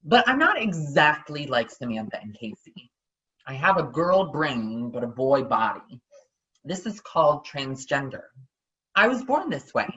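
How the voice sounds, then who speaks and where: Picture-book lead: an adult as a young girl, earnest and cheerful, bright voice through slightly muffled audio. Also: mid-range voice, very animated, a little slow. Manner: simple, earnest, childlike phrasing. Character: cheerful young transgender girl sharing favorite things. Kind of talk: dramatic picture-book reading.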